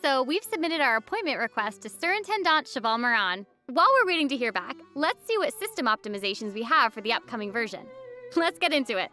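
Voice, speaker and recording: Woman co-host doing upbeat game update presenting, high crisp bright voice, music in the back. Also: very animated, fast. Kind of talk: upbeat semi-scripted presenting.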